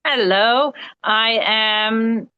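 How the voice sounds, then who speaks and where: A woman's voice: a little high, very slow, clean tone, no echo, lo-fi sound. A woman with a Canadian accent, chatting casually as a participant on a video call. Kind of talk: casual chat.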